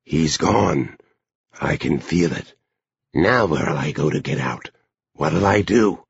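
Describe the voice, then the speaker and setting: Anxious innocent male, acted by a narrator: audiobook character, confused, pleading, low husky voice, slightly dull sound. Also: expressive, measured pace, dry recording, full character voice. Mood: despairing. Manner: restless, pleading, questioning. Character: anxious, naive inner male companion, easily confused.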